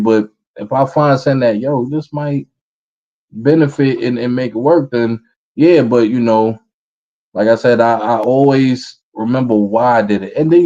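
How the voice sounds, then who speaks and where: A man in his thirties with a New York accent, a rapper answering interview questions in a relaxed way. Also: mid-range voice, highs cut off. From Brooklyn, New York, USA. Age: 30s. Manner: relaxed, repeats "feel what I'm saying". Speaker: rapper guest.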